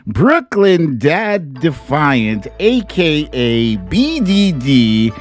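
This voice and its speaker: Animated, slow-paced American man hosting a livestream. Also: fairly high pitch, no echo, soft background music. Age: 50s. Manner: playful, jokey, theatrical delivery with drawn-out words. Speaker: host of a live online show. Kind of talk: casual livestream chat.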